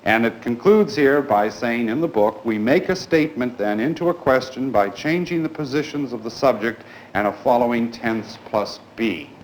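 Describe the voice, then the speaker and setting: A man teaching a class in a formal, polite, deliberate way, putting questions to his pupils. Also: medium pitch. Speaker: schoolteacher leading a grammar class. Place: indoors in a school classroom. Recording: echoey classroom recording with clear background noise.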